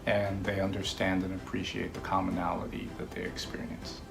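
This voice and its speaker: A man's voice: low voice, little pitch movement, fairly quick, gravelly, fairly bright tone. A middle-aged man, giving an informal testimonial as a parent.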